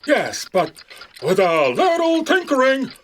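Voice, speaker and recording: A man playing an eccentric, precise master clockmaker, theatrical and deliberate, in a science-fiction audio drama. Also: very high-pitched, wildly swooping pitch, a bit bright, a little hiss or hum, full character voice. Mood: pedantic.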